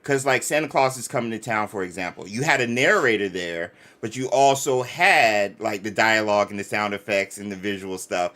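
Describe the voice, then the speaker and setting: A man's voice: on the low side, normal speed, hardly any pauses, bright, forceful tone. A man co-hosting a casual live reaction stream, enthusiastic and slangy, often repeating himself for emphasis.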